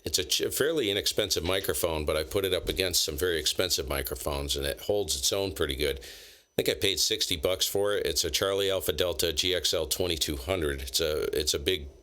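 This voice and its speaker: A man with a low, deep voice and a bright, forceful edge, chatting over a ham radio link. His talk is loose and friendly, full of fillers as he rambles about his equipment. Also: a little fast. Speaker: ham radio operator, channel host. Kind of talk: casual radio chat.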